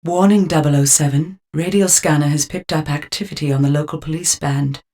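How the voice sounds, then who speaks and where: Car computer in a spy game, low voice, composed, procedural alerts. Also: female voice, fairly even intonation, a little fast, dark tone. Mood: neutral alert. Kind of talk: video game system announcements.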